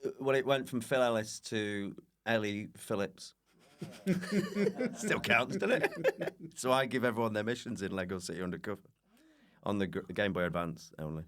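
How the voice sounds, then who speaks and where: A man's voice: on the low side, steady tone, brisk. A man chatting casually as a podcast guest, telling rambling, self-deprecating comic anecdotes with a giggly manner. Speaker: guest comedian.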